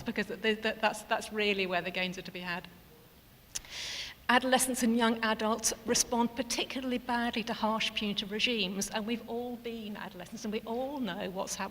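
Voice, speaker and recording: A woman presenting at a panel in an earnest, halting way with many restarts. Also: mid-range voice, a bit bright. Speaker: panel speaker. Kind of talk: presentation talk. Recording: reverberant hall, faint hiss.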